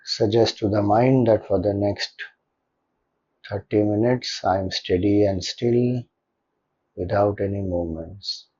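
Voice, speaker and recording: A man with an Indian accent leading a guided meditation as a spiritual teacher, speaking calmly and gently with deliberate pauses. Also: fairly low pitch, lo-fi sound, slight background noise.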